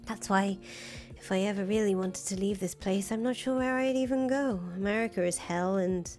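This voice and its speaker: A woman's voice: medium pitch, moderate pace, a little mellow, natural voice. Woman doing a nervy young fangirl for a visual novel, earnest, unsure of herself, bit of music behind. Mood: self-deprecating, uneasy. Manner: hesitant, self-deprecating, earnest. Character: anxious, disillusioned young fangirl, soft-hearted conscience of a revolutionary. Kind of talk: visual novel dialogue reading.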